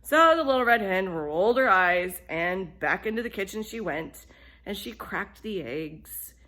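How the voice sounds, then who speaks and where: A woman telling a children's bedtime story in an expressive, deliberate way, with emphasis on key phrases. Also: medium pitch.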